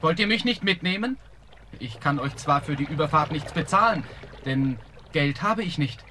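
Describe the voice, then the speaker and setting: An actor playing a brave, earnest young prince in a fairy-tale radio play, speaking in a polite, resolute way with a slightly husky voice. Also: male voice, on the high side, animated, moderate pace, pushed and bright, slight background noise. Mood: persuasive.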